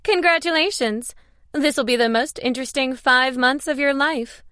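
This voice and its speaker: A woman's voice: high-pitched, moderate pace, sharp tone, smooth, dry recording, clean studio sound, voice pushed up. A woman voicing a brash, playful older female matchmaker in an audiobook, bold and teasing. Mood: gleeful, bold. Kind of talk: audiobook character dialogue.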